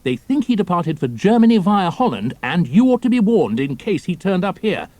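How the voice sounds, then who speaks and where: An actor playing an eager young British airman in an audio drama, with a chatty, colloquial, animated manner. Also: high-pitched, normal speed, no room sound. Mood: breezy, informative.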